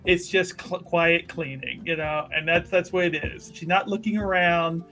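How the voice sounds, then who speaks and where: A man playing a tabletop roleplaying game, improvising his character's actions in a halting way and repeating phrases for emphasis. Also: a little high, steady tone, pushed and bright. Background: background music.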